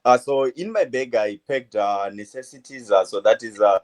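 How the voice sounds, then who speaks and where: A man in his twenties from Zimbabwe, a medical student answering interview questions in a halting way, full of uh fillers. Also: medium pitch. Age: 20s. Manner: hesitant, many uh fillers, halting phrases. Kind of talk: phone interview.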